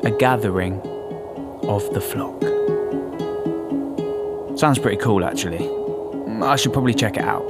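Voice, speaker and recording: A man's voice: on the low side, unhurried, pauses often, close to an everyday voice. A man playing a laid-back, curious wandering bird who narrates an audio drama in a casual, wry, musing way. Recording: guitar music loud behind voice.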